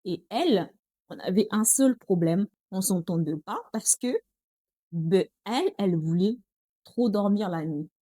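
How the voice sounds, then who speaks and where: A woman's voice: mid-range voice, animated, measured pace, mellow, no echo. A young woman with a Congolese accent chatting to her viewers in a casual vlog, often calling them girls. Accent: Congolese French. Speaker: vlogger. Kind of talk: casual talk to camera.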